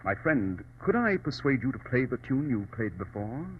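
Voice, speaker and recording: An actor playing a cool, commanding English gentleman detective in an old radio drama, speaking in a clipped, composed way. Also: mid-range voice, sing-song, moderate pace, close to an everyday voice. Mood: smooth, persuasive. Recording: dull, noisy old radio recording.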